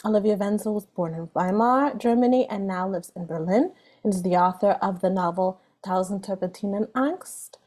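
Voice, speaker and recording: Woman, host, mellow voice, reading a prepared introduction. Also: mid-range voice. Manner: brisk, reads a prepared text. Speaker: event host introducing a guest.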